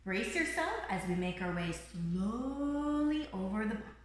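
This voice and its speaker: A woman's voice: mid-range voice, expressive, unhurried, natural voice. A woman voicing a cheerful, encouraging little bird guide in a children's picture book, with a bubbly, reassuring manner. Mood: calm, encouraging. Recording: roomy, echoing room sound.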